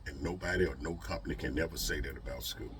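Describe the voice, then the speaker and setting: A man's voice: a bass voice, fast talker, fairly bright tone, a little hiss or hum. A man talking casually as a trucker vlogger, giving advice in an emphatic, folksy way with repeated rhetorical questions. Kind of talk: motivational advice vlog, casual talk.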